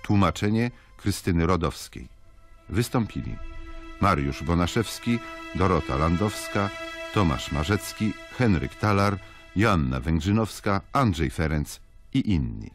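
A man reading a scripted credits announcement as a radio announcer. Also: low voice. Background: music.

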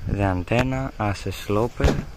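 A young man giving a hands-on tutorial in a halting, hesitant way, pausing often as he explains. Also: lowish voice. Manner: halting, hesitant delivery with pauses. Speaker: amateur radio hobbyist presenter. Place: outdoors in a rural garden. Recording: outdoor phone recording with faint noise.

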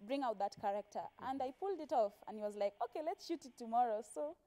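Young woman, Kenyan accent, higher pitch, soft mellow tone, chatty interview guest, animated storyteller. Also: fast talker. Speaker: guest, actress. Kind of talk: casual TV interview. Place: TV studio, seated on a sofa. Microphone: clip-on lapel mic.

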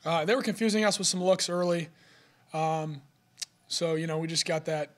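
A young man, a college quarterback, answering reporters' questions at a postgame press conference, filling his answers with "you know.". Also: on the high side. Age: young adult. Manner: steady, fast, filler-heavy with "you know". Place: press room in front of a sponsor backdrop. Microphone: podium mic.

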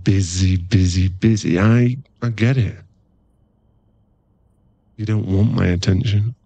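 A laddish werewolf boyfriend played by a man in an ASMR roleplay, teasing and affectionate. His voice sits on the low side and takes its time, recorded close and dry. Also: slow pace, creaky, mellow, no echo, muffled recording, full character voice. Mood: playful teasing. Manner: casual, laddish, teasing, drops into murmured asides.

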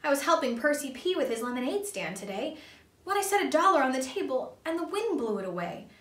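Storybook child played by a woman: guilty asparagus boy, regretful mutter, high pitch, roomy room. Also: big pitch swings, a little fast, far from the mic. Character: upset, guilty young male cartoon asparagus.

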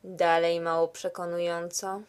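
A woman's voice: lowish voice, flat delivery, unhurried, clean tone, close to an everyday voice. A woman playing a wry, skeptical narrator in a scripted comedy, questioning the hero in a dry, teasing way.

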